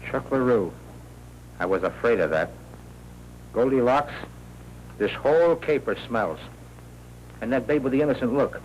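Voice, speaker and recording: A man's voice: medium pitch, expressive, a little slow, pauses often, close to an everyday voice. Slangy American tough guy played by an actor, dull soundtrack. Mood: suspicious, wry. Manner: slangy, wry. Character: slangy, sardonic tough-guy sidekick in a crime film. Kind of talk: 1940s film noir acting. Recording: dull, noisy old film soundtrack.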